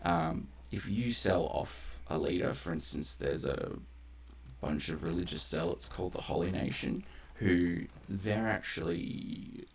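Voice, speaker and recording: A man's voice: sits low, big pitch swings, measured pace, creaky. A man doing casual, rambling live gameplay commentary as a streamer, conversational and loose with swearing. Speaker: game streamer. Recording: faint background noise.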